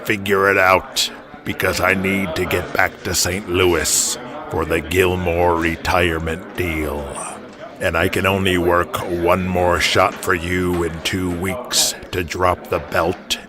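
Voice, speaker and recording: A man voicing a surly, proud veteran champion wrestler in an audio drama, blunt, deliberate and grumbling. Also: deep voice, little pitch movement, music in the background, pitched below the performer's own voice.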